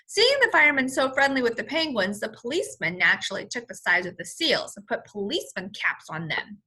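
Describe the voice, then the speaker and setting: A woman with a bright, fairly high voice reads a children's story aloud. She sounds like a teacher, expressive and explanatory in her delivery. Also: breathy edge. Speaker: first-grade teacher.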